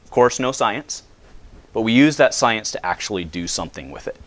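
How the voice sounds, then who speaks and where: Guy in his 30s giving a talk to a high school class, loud and chatty, lowish voice. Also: expressive, moderate pace. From United States. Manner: loud, casual, asks the audience questions. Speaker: guest speaker.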